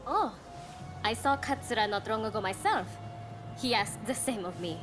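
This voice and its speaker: A woman's voice: mid-range voice, lively intonation, moderate pace, close to an everyday voice. An actress playing a spirited, loyal young woman in a dramatic video game. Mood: friendly, musing. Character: spirited, warm young Japanese woman, loyal to her companions. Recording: music behind the voice.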